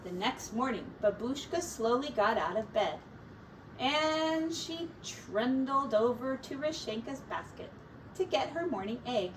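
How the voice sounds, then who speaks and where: Slow, high-pitched woman reading a storybook. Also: expressive. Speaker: teacher reading a picture book aloud. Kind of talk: children's storybook read-aloud. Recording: distant mic with faint background noise.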